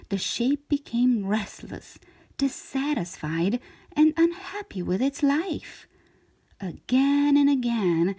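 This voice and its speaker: A woman reading a children's story aloud in an expressive narrator's style, with deliberate, dramatic phrasing. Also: on the high side, slightly rough.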